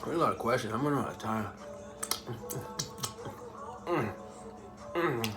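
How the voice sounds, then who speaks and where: A man's voice: medium pitch, expressive, unhurried, music in the background. A young man from the Bahamas answering viewer questions in a casual, joking, slang-filled vlog chat. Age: young adult. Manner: laid-back, joking, streetwise slang.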